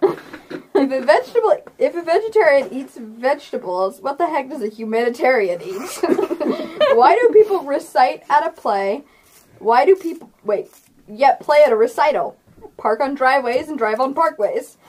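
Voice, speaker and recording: A woman's voice: high-pitched, sing-song, normal speed, a little mellow, slight background noise. A giggly, playful young woman talking casually as game master on a tabletop game podcast among friends.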